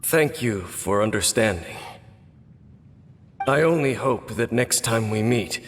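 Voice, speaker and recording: An actor playing a stern, world-weary warrior captain in a dramatic video game, speaking in a grave, formal, gravelly voice. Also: male voice, fairly low pitch, lively intonation, slow pace, slight background noise. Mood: grave, aloof. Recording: long reverb, music underneath.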